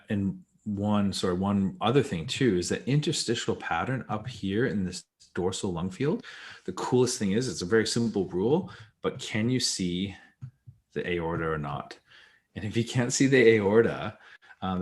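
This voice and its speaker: A man with a mixed North American and British accent, informally teaching as a specialist radiologist and explaining step by step in plain colloquial terms. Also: lowish voice. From Canada, later Hong Kong.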